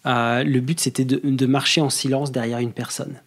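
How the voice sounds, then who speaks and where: A man, talking as a guest on a podcast in a relaxed, hesitant way, with many fillers and restarts. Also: medium pitch, normal speed. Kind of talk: casual conversation.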